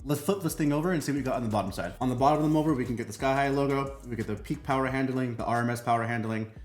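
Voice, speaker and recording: Fast-talking man presenting, roomy echo. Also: mid-range voice. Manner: emphatic, casual, punchy delivery. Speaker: product review channel host. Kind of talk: hyped unboxing and product presentation. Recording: roomy echo, faint music behind.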